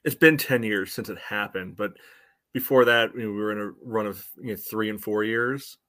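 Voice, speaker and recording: A man with a fairly low voice gives casual sports analysis as a podcast co-host. His delivery is halting and padded, working through each point piece by piece. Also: sharp tone. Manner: halting, peppers talk with "you know".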